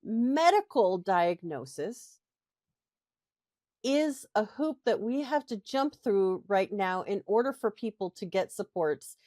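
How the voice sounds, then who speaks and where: A woman's voice: mid-range voice, animated, slow, a little mellow, no echo. Woman explaining, dry close sound. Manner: explanatory, frequent "right?" tag questions. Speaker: podcast co-host. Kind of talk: casual podcast discussion.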